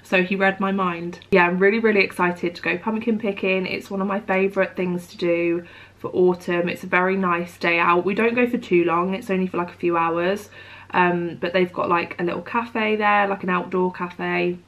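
A young woman chats to her camera at home, bright and upbeat. She has a cold, so her voice comes out nasal and croaky. She fills her talk with "like" and "um". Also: lowish voice, fairly even intonation, normal speed. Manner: chatty, upbeat, lots of "like" and "um". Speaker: vlogger. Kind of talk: casual chat to camera. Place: bedroom at home.

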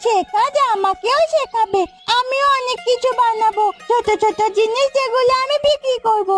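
একজন নারী কার্টুনে এক কৌতূহলী, জেদি ছোট্ট গ্রামের মেয়ের কণ্ঠ দিচ্ছেন, নাছোড়বান্দা আবদারের ভঙ্গিতে।. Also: female voice, very high voice, normal speed. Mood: insistent, sulky. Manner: pleading, insistent questioning. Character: inquisitive, stubborn little village girl. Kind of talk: Bengali cartoon fairy-tale acting. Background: background music.

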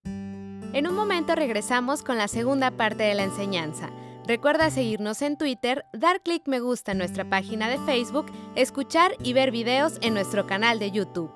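A woman reading a clear radio promo announcement. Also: a little high, lively intonation, normal speed, music in the background.

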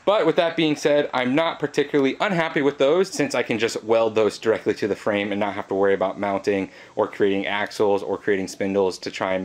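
A man talking casually through a build as a vlog host, laid-back and matter-of-fact. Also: fairly low pitch. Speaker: host of a DIY fabrication channel.

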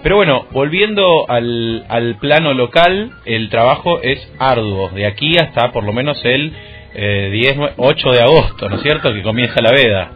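A man's voice: medium pitch, expressive, normal speed. Argentine male radio host, tinny sound. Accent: Rioplatense Argentine. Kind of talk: radio interview with political commentary. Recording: tinny phone-like sound over music.

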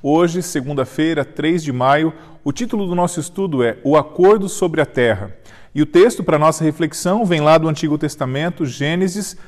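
A man in his thirties gives a devotional Bible lesson, earnest and measured, slowing down as he reads scripture aloud. His voice sits a little high for a man. A long reverb trails behind him in the studio. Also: a little mellow. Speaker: Bible study teacher. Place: indoor studio set with armchair and flowers.

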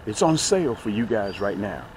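Animated middle-aged man, folksy. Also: fairly low pitch, moderate pace, poor audio. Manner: folksy, emphatic, repeats phrases for effect. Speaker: host of a fishing channel. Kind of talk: casual fishing vlog, talking to viewers. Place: outdoors on a small boat on a lake. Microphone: action camera mounted near him. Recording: outdoor noise, small action camera.

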